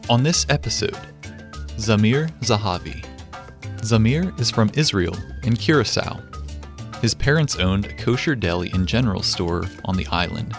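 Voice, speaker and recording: A man hosting a podcast, reading a scripted introduction in an even, measured way. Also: fairly low pitch. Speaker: podcast host. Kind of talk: scripted narration. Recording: light background music.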